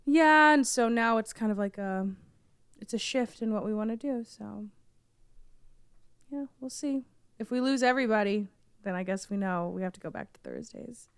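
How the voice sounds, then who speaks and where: A young woman co-hosting a podcast in casual chat, her voice hoarse and lower from a cold, rambling with many fillers and restarts. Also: normal speed, no echo, clean studio sound. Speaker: podcast co-host.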